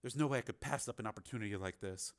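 A guarded female news reporter in an audiobook, voiced by a man, speaking quietly and firmly. The delivery is tense and restrained, with steady determination. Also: on the low side, rapid-fire, close to an everyday voice. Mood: determined. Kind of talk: acted audiobook dialogue.

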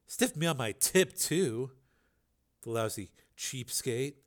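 A man voicing a tough, dry-witted showgirl who talks low and quietly. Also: lively intonation, takes it slowly. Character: dry, tough-talking older showgirl, woman.

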